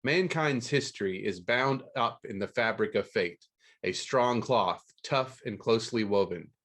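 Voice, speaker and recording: A man's voice: fairly low pitch, measured pace, a bit bright. A man hosting a podcast about books. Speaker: podcast host. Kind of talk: scripted book news read aloud, then casual interview.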